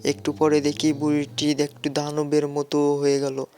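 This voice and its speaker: A woman's voice: low-pitched, monotone, unhurried, dark tone. A woman narrating a scripted first-person horror story as its storyteller.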